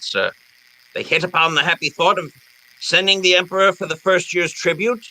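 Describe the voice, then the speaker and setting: Genteel villain, pompous, florid and formal, bright high-set voice, sweeping intonation, radio drama. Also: male voice, full character voice, poor audio. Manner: florid, formal, elaborately courteous. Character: pompous, genteel fat crime boss, courteous and scheming. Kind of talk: old-time radio drama acting.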